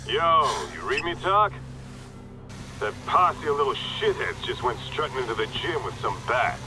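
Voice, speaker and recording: An actor playing a brash, teasing detective's sidekick in a video game, sardonic and slangy. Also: male voice, mid-range voice, sing-song, a little slow, music in the background. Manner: sardonic, rough slangy talk. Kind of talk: video game dramatic acting.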